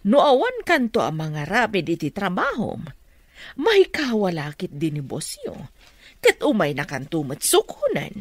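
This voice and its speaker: Wildly animated, scheming Filipino mother. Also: mid-range voice, normal speed, dry recording. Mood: scheming, insistent. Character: scheming, pushy mother of a grown daughter. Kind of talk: radio comedy drama acting.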